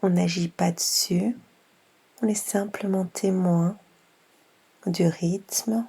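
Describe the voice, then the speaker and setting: Woman doing yoga nidra guide, super slow n soft, breathy almost whispering, bit of vocal fry, long pauses. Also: fairly low pitch, fairly even intonation. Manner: unhurried, gentle instructions with long pauses.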